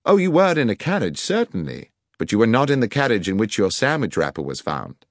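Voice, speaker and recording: A man voicing a sharp, commanding middle-aged detective who asks precise questions, in an audiobook reading. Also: fairly low pitch, wildly swooping pitch, fairly quick, no echo, clean studio sound, pitched above the performer's own voice. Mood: probing. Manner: crisp, precise, probing questions.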